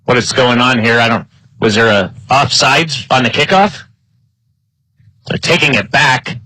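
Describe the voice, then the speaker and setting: A man announcing live football play-by-play in clipped phrases, his excitement building on big plays. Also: medium pitch, a little slow, no echo, low-quality recording. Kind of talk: live sports play-by-play commentary.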